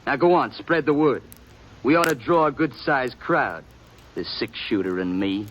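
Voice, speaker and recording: A man's voice: medium pitch, lively intonation, normal speed, dull audio, slight background noise, lightly acted. A man in a 1950s radio western playing a cocky young gunfighter who talks in a sneering, confident way. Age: young adult. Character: cocky young male gunfighter, cold and boastful. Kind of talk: 1950s radio drama acting.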